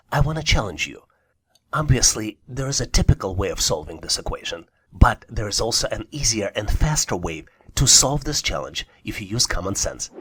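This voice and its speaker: Low-pitched male tutorial narrator. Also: breathy edge. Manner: encouraging, addresses the listener directly. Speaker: online test-prep instructor. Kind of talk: scripted puzzle tutorial narration.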